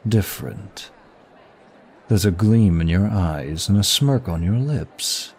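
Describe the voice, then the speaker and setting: A man's voice: deep voice, darkish tone, slight background noise, exaggerated voice. A man playing a smug, seductive demon of lust in an intimate ASMR roleplay, with suave, teasing and formal flirtatious phrasing.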